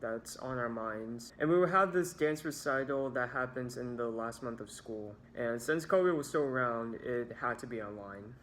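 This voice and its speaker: A man's voice: medium pitch, fairly bright tone, a little hiss or hum. A young man narrating a scripted storytime about his own experiences as a video creator. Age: young adult.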